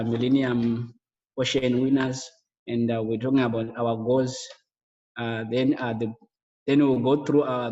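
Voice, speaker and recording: A young man walks through his slides on a video call, hesitant and full of filler sounds. His voice stays flat and level. The audio is dull and lo-fi, with a roomy echo. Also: mid-range voice. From Zimbabwe. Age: young adult. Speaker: project co-founder presenting. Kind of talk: webinar slide presentation. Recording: echoey, dull lo-fi webinar audio.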